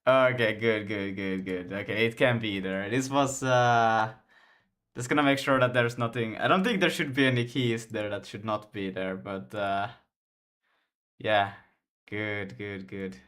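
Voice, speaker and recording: A man's voice: on the low side, steady tone, unhurried, a bit bright. Finland-Swedish young man, rambling stream chat. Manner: rambling, restarts often, laughs a lot. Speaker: game developer streaming. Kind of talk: casual live-stream chat while coding.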